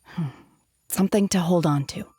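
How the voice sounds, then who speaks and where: A woman voicing an aloof, earnest young female mystic in a video game, speaking in a measured, restrained and formal way. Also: lowish voice, dark tone, slightly rough, no echo, clean studio sound, soft background music. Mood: wistful, pensive. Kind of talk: video game character voice acting.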